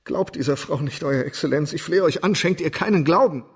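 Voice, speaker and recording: An actor playing an agitated, self-pitying doctor who pleads his case haltingly in a German radio crime drama. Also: on the high side, husky. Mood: desperate pleading.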